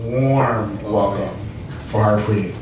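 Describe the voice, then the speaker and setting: A man's voice: on the low side, crowd noise. Hesitant man introducing a comic. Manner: halting, with many fillers. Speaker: comedy show host. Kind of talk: introducing the next comedian. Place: barbershop comedy show. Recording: echoey room from far off, noisy.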